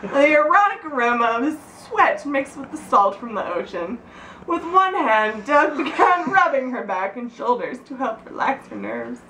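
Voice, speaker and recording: Woman, high voice, giggly informal read-aloud, roomy sound from a distant mic. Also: very animated, normal speed, bright, forceful tone, a little hiss or hum. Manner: giggly, often breaking into laughter. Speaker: reader of a birthday story. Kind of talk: reading aloud informally to friends.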